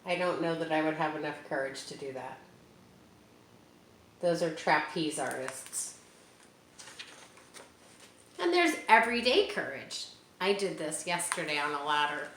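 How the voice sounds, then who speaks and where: A woman's voice: low-pitched, a little slow, pauses often. A woman reading a children's picture book aloud and chatting with young listeners between pages. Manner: animated, talks directly to young listeners. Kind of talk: read-aloud storytime with chatty asides to kids. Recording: roomy echo, faint ambient hiss.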